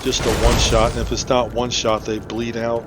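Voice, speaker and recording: A man's voice: medium pitch, monotone, brisk, very noisy. A man giving casual commentary as he plays through a video game. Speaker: gameplay streamer narrating a playthrough. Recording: loud game music behind. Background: loud game music.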